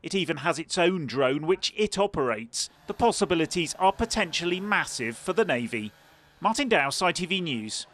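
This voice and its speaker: Higher-pitched male news reporter. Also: animated, normal speed, a bit bright. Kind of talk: scripted news report narration.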